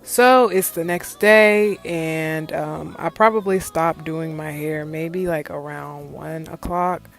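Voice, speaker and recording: A woman's voice: sits low, steady tone, takes it slowly, vocal fry, hardly any pauses, soft background music. A young woman chatting casually through a hair tutorial on her own channel, rambling with lots of ums and filler words. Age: young adult. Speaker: beauty vlogger.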